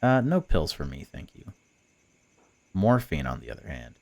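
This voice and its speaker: A man's voice: rumbling low, sing-song, normal speed, darkish tone, no room sound. A man hosting a gaming video, reading a visual novel aloud and breaking off for wry, joking asides.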